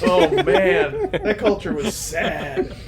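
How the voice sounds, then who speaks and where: A man's voice: high voice, wildly swooping pitch, slow, over a noisy crowd. A man playing a tabletop game, riffing casually in a joking, rambling way.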